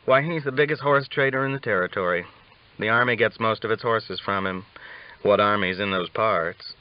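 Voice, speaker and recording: A man's voice: fairly low pitch, normal speed, dry recording, lightly acted. Guy playing a shifty grumbling cowboy for an audiobook, rustic and casual, bit muffled. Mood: evasive. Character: evasive, grumbling cowboy drifter, male, self-styled young.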